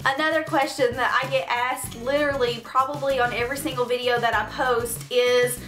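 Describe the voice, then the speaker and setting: A woman's voice: a little high, steady tone, fairly quick. Young woman, Tennessee Southern accent, chatty and earnest, roomy echo, music playing behind. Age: 20s. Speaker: beauty vlogger. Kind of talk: casual Q&A vlog.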